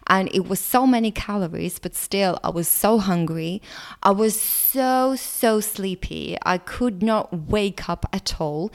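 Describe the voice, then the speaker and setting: Slow-speaking Russian-accented woman. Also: on the low side. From Latvia. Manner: earnest, explanatory, occasional soft laughs. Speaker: nutrition coach. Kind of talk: solo podcast monologue.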